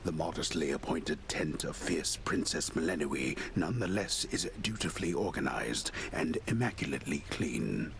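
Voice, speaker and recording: An actor playing a solemn, lofty storyteller who narrates a mythic video game in formal, ornate language. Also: male voice, a bass voice, lively intonation, moderate pace, vocal fry, few pauses, background noise, exaggerated voice. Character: grave, lofty male storyteller narrating a myth.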